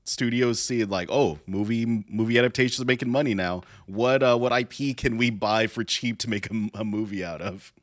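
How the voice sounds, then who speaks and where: A man's voice: lowish voice, brisk, hardly any pauses, no echo, studio quality. A man co-hosting a podcast in a loose, wry, conversational way. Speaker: podcast co-host. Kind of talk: casual group podcast chat.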